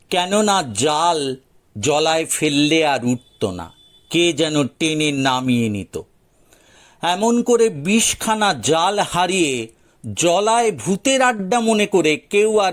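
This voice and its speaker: A man narrating a folk tale as a storyteller, in a dramatized audio story style. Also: a little high.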